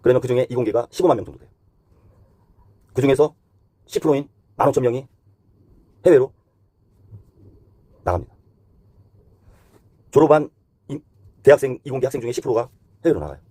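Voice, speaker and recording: A man's voice: medium pitch, expressive, brisk, long pauses, warm tone, no room sound. A man hosting a current-affairs opinion monologue in an earnest, critical manner, posing rhetorical questions. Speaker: host and commentator.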